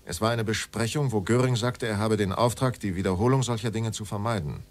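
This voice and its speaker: An actor playing a middle-aged former state secretary under questioning in a radio drama, formal, terse and defensive. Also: male voice, fairly low pitch, brisk, close to an everyday voice. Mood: guarded. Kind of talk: documentary radio drama dialogue.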